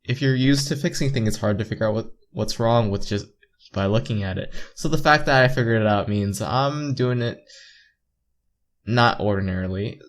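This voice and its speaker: A man reading visual novel text aloud as a let's player, stumbling over lines and repeating words, with joking asides. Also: medium pitch. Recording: game music behind voice.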